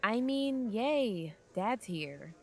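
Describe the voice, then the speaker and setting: A woman's voice: mid-range voice, lively intonation, unhurried. A woman playing a moody, sarcastic older child who grumbles in an audio drama. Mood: sullen, sarcastic.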